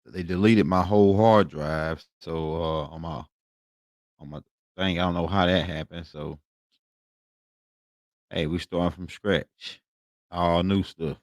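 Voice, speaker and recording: An American man giving an informal, colloquial lecture, repeating phrases and often checking in with a quick tag question. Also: deep voice, fairly even intonation, no room sound. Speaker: online lecturer, host. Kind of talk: informal lecture talking through slides.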